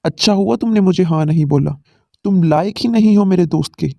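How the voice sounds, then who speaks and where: A man's voice: high-pitched, a little fast, creaky, mellow, no echo, clean studio sound. A man voicing a loyal, protective young college man who stands by his friend in a cartoon moral story. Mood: indignant.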